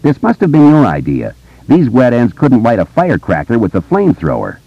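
Man doing wisecracking show-off boy, raised pitch, animated swoops, brash, theatrical, audiobook, slightly muffled. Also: a little fast, gravelly, slightly rough, no echo, exaggerated voice. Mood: brash teasing. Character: hyperactive, loud-mouthed wisecracking boy show-off.

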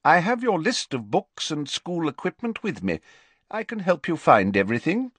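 Man acting elderly wizard schoolmaster, storybook character, calm, stern, deliberate, very expressive pitch, close dry sound. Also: dry recording. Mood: calm, helpful. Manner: measured, deliberate, courteous but firmly authoritative.

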